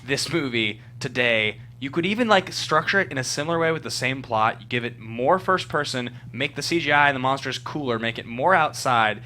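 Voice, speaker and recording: A man's voice: mid-range voice, lively intonation, brisk, few pauses, pushed and bright. A young man speaking casually and informally as a guest in a studio movie discussion. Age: young adult. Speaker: panel guest. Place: indoor studio. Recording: clear background noise and hum.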